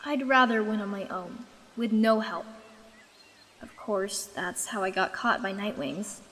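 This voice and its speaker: A woman's voice: medium pitch, measured pace, fairly bright tone, long reverb tail, lightly acted. A woman voicing a young female dragon in a fan dub, determined and sardonic, wanting to be queen. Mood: determined, wary.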